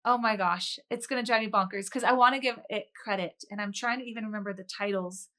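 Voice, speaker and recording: A woman's voice: mid-range voice, fairly even intonation, quick pace, sharp tone. A woman speaking as a guest author in a conversational interview, chatting and telling personal stories in an earnest way.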